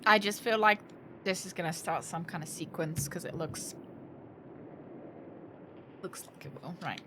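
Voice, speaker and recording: Girl streamer, playful and sweary, bright sharp voice, unhurried, bit of noise behind. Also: fairly low pitch. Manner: excitable, playful, sweary, reacting aloud. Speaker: game streamer. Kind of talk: casual live stream commentary. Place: indoor room, live gaming stream.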